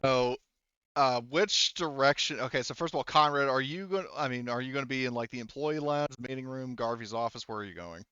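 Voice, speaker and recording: Bright-voiced man running a tabletop game. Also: medium pitch, fairly quick, clear, resonant tone, no echo, muffled recording. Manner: informal, profane. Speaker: game master. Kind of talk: casual tabletop game narration.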